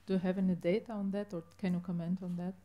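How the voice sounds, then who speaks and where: A woman's voice: fairly low pitch, level tone, quick pace, dark tone. A woman from Germany in a conference audience, asking the panel a direct question.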